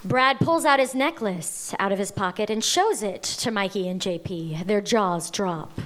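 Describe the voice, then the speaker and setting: A woman reading stage directions as narrator at a live table read, in a measured way. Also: mid-range voice, a bit bright.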